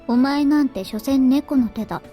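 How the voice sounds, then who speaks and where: A woman's voice: high-pitched, fast talker, warm tone, faint music behind, lightly acted. A woman voicing a frail, teasing young girl in a Japanese visual novel.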